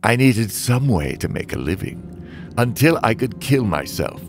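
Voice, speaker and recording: An actor playing a bitter, self-loathing demolition derby driver, narrating a motion comic with brooding, theatrical, drawn-out phrasing. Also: male voice, mid-range voice, very animated, slight background noise. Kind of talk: dramatic first-person comic narration.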